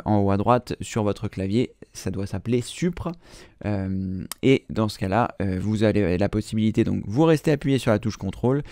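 A man's voice: lowish voice, mellow, no room sound, clean studio sound. A man in his thirties hosting a computer tutorial, explaining step by step with patient fillers. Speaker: computer training host. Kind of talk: screen-recorded tutorial.

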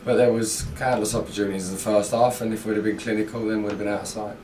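A man's voice: lowish voice, little pitch movement, fast, recorded from a distance, noisy background. A middle-aged man, a football manager, giving a blunt, frustrated post-match interview full of fillers in a small indoor room. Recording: echoey room, mic set back.

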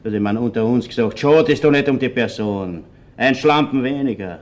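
A man's voice: medium pitch, brisk, darkish tone, slight background noise, lightly acted. A man acting a well-off middle-aged businessman in a radio crime drama, with a Viennese accent, colloquial and somewhat guarded. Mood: guarded, curious. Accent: Viennese Austrian. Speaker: actor.

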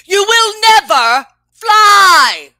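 Lady doing a fussy skeptical elephant for a kids book, dramatic, bright voice, dragged out words. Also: very high voice, very animated, no echo, studio quality, voice pushed up, heavily acted. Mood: exasperated. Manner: emphatic, deliberate, melodramatic. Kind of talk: children's picture-book read-aloud.

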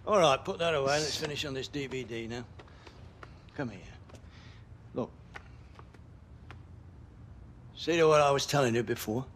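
A man playing a gruff, caring old grandfather and former footballer in a video game, with an instructive manner. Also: medium pitch, fairly quick, a bit bright, slight background noise, close to an everyday voice. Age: older. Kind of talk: acted video game dialogue.

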